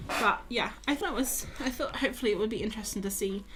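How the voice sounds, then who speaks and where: A woman's voice: on the high side, fast. Chatty woman streaming her painting. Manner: chatty, self-mocking, talks to viewers while drawing. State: tired, losing her voice. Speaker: art streamer. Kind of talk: casual live-stream chat while painting.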